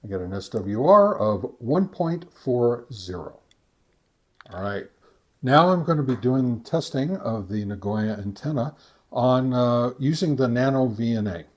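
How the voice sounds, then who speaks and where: A man narrating a casual product test as a review host, talking step by step in a halting way. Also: medium pitch, lively intonation, a little mellow. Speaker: host of a ham radio gear review channel.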